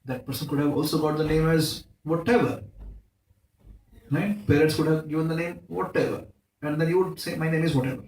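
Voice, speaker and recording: A man gives a spiritual talk, keeping the audience involved with rhetorical questions and everyday examples. His voice sits a little high. The mic is some way off in a reverberant hall, with light background noise. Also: darkish tone. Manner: asks the audience rhetorical questions and quick checks like "right?"; uses props and everyday examples. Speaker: speaker at a temple Sunday feast. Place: temple hall. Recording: echoey room, mic at a distance.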